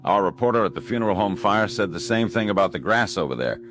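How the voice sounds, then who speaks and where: A man playing a weary but steady late-night radio host in an audio drama, plain and low-key. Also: fast talker, faint music behind. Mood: concerned.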